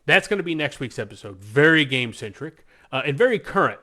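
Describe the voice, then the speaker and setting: A man's voice: a little high, big pitch swings, fast, bright, forceful tone. Enthusiastic man co-hosting a casual podcast. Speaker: podcast co-host. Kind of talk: casual podcast chat about games and films.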